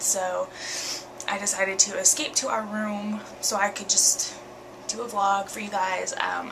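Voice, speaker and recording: A young woman, talking candidly in a home vlog, earnest and full of ums and pauses. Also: medium pitch, noisy background. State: congested with a cold, sniffly. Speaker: vlogger. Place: bedroom at night.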